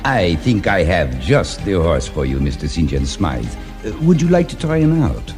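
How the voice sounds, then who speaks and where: A man's voice: deep voice, wildly swooping pitch, moderate pace, a little mellow, heavily acted. An actor playing a suave, menacing industrialist villain in his late thirties, formal and falsely polite, in an audio storybook. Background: background music.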